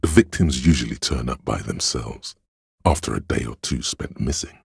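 A man voicing the cold, dry inner logic of a detective in a video game, measured and analytical, with a gravelly, husky delivery. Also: very deep voice, lively intonation, darkish tone, no room sound, exaggerated voice. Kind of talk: scripted video game voice acting, second-person narration.

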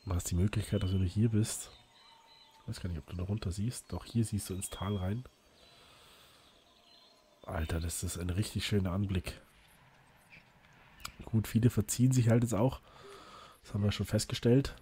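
Let's play host, male, a bit hoarse, chatty and rambling, faint hiss behind. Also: lowish voice, normal speed, pauses often, dark tone. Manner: chatty, rambling, frequent fillers and restarts. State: slightly hoarse from illness. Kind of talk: casual gameplay commentary.

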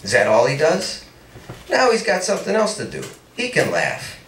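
A man's voice: on the high side, huge pitch swings, normal speed, fairly bright tone, slight background noise, exaggerated voice. A man reading a teasing lovestruck young suitor. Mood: dreamy. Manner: teasing, dreamy. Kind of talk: read-aloud story dialogue. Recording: echoey room, mic at a distance.

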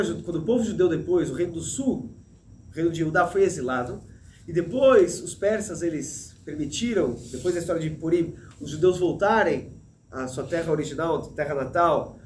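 A man in his thirties teaching a Torah lesson in an animated way, gesturing and restarting often, recorded indoors on a desk mic. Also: fairly high pitch, echoey room, slight background noise. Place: small room with a plain wall, indoors. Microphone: desk mic in front, medium framing.

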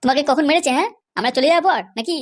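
A performer acts out a blustering husband and father in a comedy cartoon. He is short-tempered and scolding. His voice is oddly high for a man and races along at a very quick clip. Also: close to an everyday voice. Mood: annoyed. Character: hot-tempered, foul-mouthed father and husband.

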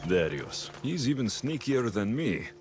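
A confident Greek mercenary hero, speaks with wry, low-key assurance. His intonation moves freely up and down. The dialogue comes from a video game cutscene, with music under it. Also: sing-song, measured pace. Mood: cool, probing. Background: background music.

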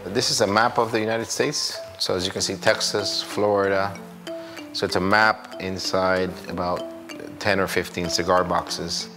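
A man in his forties from Cuba, an artist speaking in a documentary interview, reflective and halting, with frequent restarts. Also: low-pitched, steady tone. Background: music.

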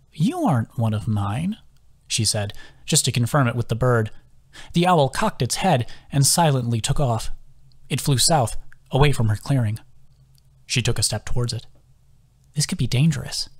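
Male narrator, scripted fantasy audiobook, mid-range pitch. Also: normal speed, long pauses. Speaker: audiobook narrator, author reading own book.

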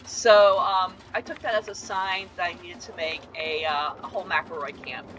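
A woman's voice: on the low side, steady tone, normal speed, noisy background. A woman giving a rambling, casual voice-over tour of a house built inside a video game, full of ums and side remarks. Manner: chatty and rambling, with frequent ums and asides.

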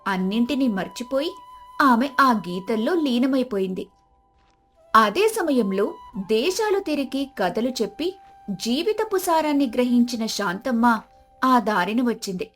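Fairly high-voiced woman narrating a story. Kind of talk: dramatic scripted narration of a moral story.

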